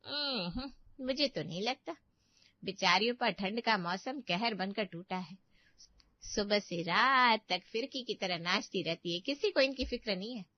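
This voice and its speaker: A woman's voice: medium pitch, animated, a little slow, no room sound, highs cut off. A woman voicing a meddling older aunt in a family cartoon, complaining and lecturing with exaggerated sympathy. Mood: reproachful. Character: meddling older aunt, falsely pitying, nagging and fault-finding. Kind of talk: Hindi family cartoon story voice acting.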